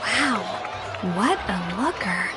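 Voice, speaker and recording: A woman's voice: medium pitch, lively intonation, slow pace, loud music behind the voice. A woman playing a curious townsperson in a crowd, with a wondering delivery, in a video game. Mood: curious, impressed.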